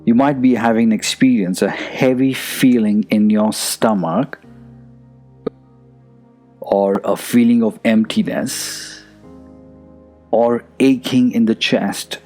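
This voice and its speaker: A man guides a meditation in a fairly low voice. He speaks very slowly and soothingly, at times hushed, leaving plenty of room between phrases. Faint music plays behind him. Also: steady tone. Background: soft music.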